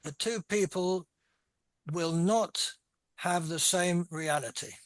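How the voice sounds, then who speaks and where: A man's voice: high-pitched, slow pace, no echo. A man hosting and moderating a group discussion, affirming and courteous. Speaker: host and moderator.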